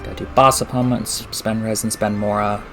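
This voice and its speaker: A man's voice: lowish voice, little pitch movement, fairly quick, a bit bright, faint music behind. A man chatting casually and informally as he live streams a game, swearing mildly. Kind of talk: casual gaming stream chat. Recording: clearly noisy stream audio.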